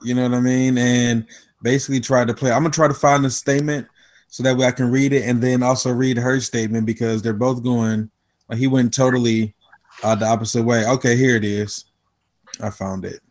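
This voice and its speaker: A man's voice: mid-range voice, flat delivery, a little fast. Rambling Cleveland man, easygoing. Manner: loose, rambling, repeats words. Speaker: podcast co-host. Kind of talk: casual chat.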